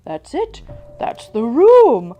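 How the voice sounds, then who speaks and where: A woman's voice: a little high, wildly swooping pitch, takes it slowly, mellow, soft background music, full character voice. A woman voicing a frightened old drunk man at a bar, exclaiming in alarm, in a storybook tale. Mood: alarmed warning.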